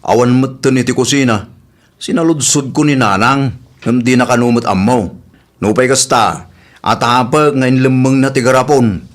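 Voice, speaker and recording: Male actor as grumbling, excuse-making husband, Ilocano accent, medium pitch, colloquial radio drama delivery. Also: normal speed, close to an everyday voice. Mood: exasperated. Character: idle, grumbling husband who makes excuses.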